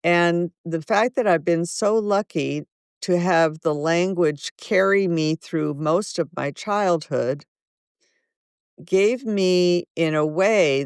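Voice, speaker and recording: A woman's voice: deep voice, smooth, no echo, clean studio sound. A woman in her seventies, a guest author, telling thoughtful stories in an interview. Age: 70s. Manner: slow, thoughtful, warm anecdotes. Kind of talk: reflective interview storytelling.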